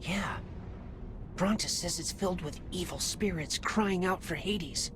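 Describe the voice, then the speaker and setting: Earnest teenage Spartan, music behind. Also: male voice, high voice, unhurried. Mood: uneasy. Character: earnest, loyal, questioning teenage Spartan boy. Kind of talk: cinematic video game acting.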